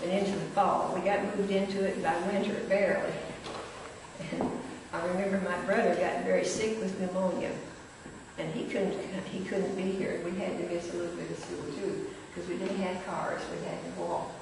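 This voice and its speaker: A woman's voice: lowish voice, moderate pace, vocal fry. An older woman, reminiscing casually about her memories. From rural Missouri, United States. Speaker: former pupil and local historian sharing memories. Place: large echoing indoor room, recorded from a distance. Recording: very echoey hall, distant mic, noisy.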